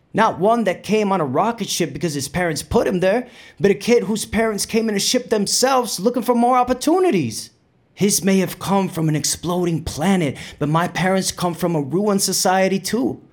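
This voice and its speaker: Actor as a streetwise, higher-voiced Puerto Rican New Yorker. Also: male voice, natural voice. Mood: bitter, reflective. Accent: Puerto Rican New York. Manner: Spanglish code-switching, streetwise, conversational storytelling. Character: Puerto Rican bandleader from El Barrio, streetwise, playful, reflective. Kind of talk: dramatic monologue in audio play.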